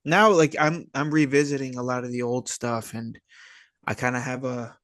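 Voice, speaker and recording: A man's voice: medium pitch, fairly even intonation, normal speed, fairly bright tone, no echo, studio quality. A man chatting casually as a podcast guest, enthusiastic and slangy, hesitating through lots of likes and dudes. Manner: enthusiastic, slangy, swearing, hesitant phrasing full of 'like' and 'dude'. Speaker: podcast guest, independent filmmaker. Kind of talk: casual chat.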